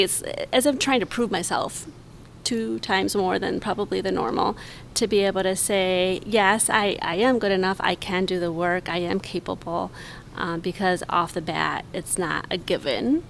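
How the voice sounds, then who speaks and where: A woman in her forties, a podcast guest, talking in an earnest, reflective way with many pauses. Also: mid-range voice, vocal fry, a bit bright, slight background noise, poor audio. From United States, raised in Mexico. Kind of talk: casual conversation.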